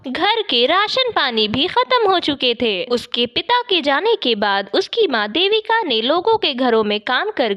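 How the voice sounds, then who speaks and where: A woman's voice: sits high, a bit bright. A woman narrating a scripted children's moral story as the storyteller.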